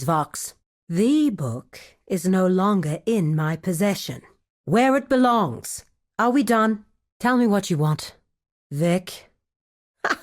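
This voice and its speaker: A shrewd woman of a thieves' guild in a fantasy video game. She speaks in measured, formal phrases at a medium pitch, articulate and guarded, with a dry wit underneath. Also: darkish tone. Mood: cold, defiant. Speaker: video game quest-giver character. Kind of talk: scripted video game dialogue.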